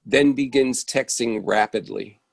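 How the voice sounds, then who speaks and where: A man's voice: medium pitch, unhurried, creaky, slightly rough. A man reading out stage directions as scripted narration for a play.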